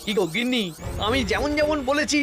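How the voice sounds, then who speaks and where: A woman's voice: high voice, fairly quick, over music, lightly acted. A woman voicing a crafty, plotting husband in a cartoon, with a sly, conspiratorial manner. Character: crafty plotting husband scheming against a rival. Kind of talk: cartoon voice acting.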